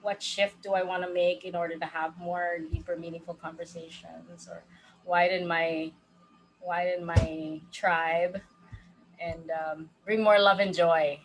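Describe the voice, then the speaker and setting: A woman life coach with a loud voice, talking casually in an interview and laughing often. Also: fairly low pitch, flat delivery, unhurried, over music, low-quality recording. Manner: laughs often, speaks of holding space.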